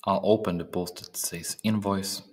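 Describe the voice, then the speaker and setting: A man narrating a step-by-step software training demonstration, speaking haltingly with pauses in mid-phrase. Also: deep voice, steady tone, husky. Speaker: software trainer presenting a tutorial.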